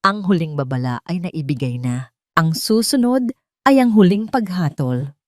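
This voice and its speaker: A woman's voice: lowish voice, animated, unhurried, no room sound, studio quality. A woman reading a dramatic first-person story as an audio narrator.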